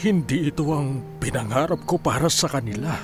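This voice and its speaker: An actor playing a guilt-ridden, ailing Filipino father in a radio drama, halting and heavy-hearted. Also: a little high, close to an everyday voice. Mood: sorrowful, regretful. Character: guilt-ridden, ailing father grieving his family's hardship. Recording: music behind the voice.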